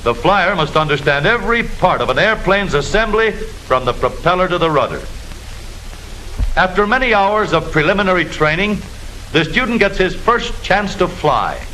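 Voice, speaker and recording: Animated, bright-voiced American man narrating vintage film. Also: sits high, moderate pace. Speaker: narrator of a military training film. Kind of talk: vintage 1940s newsreel-style scripted narration. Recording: noisy old film soundtrack.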